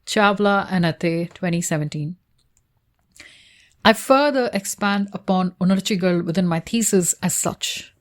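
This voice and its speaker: A woman whose first language is Tamil, reading her academic thesis aloud in a measured way, citations and page numbers included. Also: fairly low pitch. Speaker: researcher explaining her thesis.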